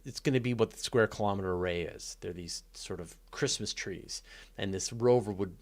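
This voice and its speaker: A man talking casually and off the cuff as the host of a live question session, thinking aloud in a conversational, keen way. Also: fairly low pitch. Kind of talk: casual unscripted Q&A talk about space and astronomy.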